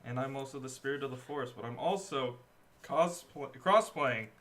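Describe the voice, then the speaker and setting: A man's voice: mid-range voice, moderate pace, a bit bright, a little hiss or hum. A man hosting a casual comedy stream, laid-back and giggly as he explains things. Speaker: stream host.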